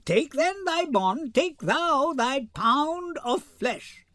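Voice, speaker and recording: Stern young woman in judge's disguise, played by a man: slow, emphatic Shakespearean stage delivery, very dry close sound. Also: very high voice, lively intonation, pushed and bright, no echo, pitched above the performer's own voice, exaggerated voice. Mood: stern, deliberate. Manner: measured, declamatory, emphatic. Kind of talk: dramatic Shakespeare reading.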